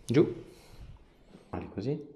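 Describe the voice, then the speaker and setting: A man, a chiropractor giving a patient short, gentle, repeated instructions. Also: slow, a little hiss or hum. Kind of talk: instructing a patient during treatment. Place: small indoor treatment room. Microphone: camera a few steps away. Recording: roomy echo, camera across small room.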